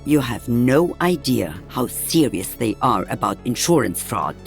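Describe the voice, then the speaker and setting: A woman's voice: very deep voice, big pitch swings, measured pace, creaky, faint music behind, exaggerated voice. A woman playing an elderly veteran sniper in a video game, with a dry, wry and weary delivery. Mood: wry, firm. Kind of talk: video game character voice lines.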